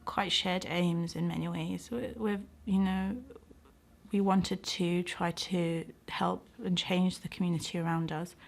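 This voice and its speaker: A woman's voice: fairly low pitch, little pitch movement, unhurried, creaky. A middle-aged British woman speaking reflectively in an interview, earnest and thoughtful, often hesitating as she finds her words. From United Kingdom. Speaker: interviewee, doctor and community organiser.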